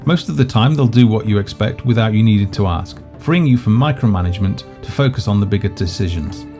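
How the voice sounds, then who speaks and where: Low, mellow male game narrator. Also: animated, brisk. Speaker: game presenter. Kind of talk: scripted walkthrough narration. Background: background music.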